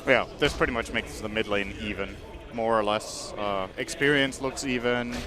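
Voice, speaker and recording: A man giving measured, analytical color commentary on an esports match. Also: mid-range voice, crowd noise. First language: English. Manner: measured, explanatory.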